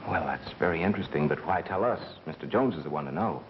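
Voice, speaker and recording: Lively, sharp 1930s American sleuth. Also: male voice, rumbling low, quick pace. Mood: probing, wry. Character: brisk, sharp male amateur sleuth, wry and bossy. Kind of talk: 1930s film acting. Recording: dull, noisy vintage film soundtrack.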